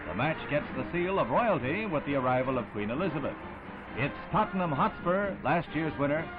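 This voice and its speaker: Male newsreel announcer, early sixties style. Formal, declamatory, fairly high-pitched, on a narrow, tinny vintage soundtrack. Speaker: newsreel narrator. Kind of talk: 1960s newsreel narration, scripted.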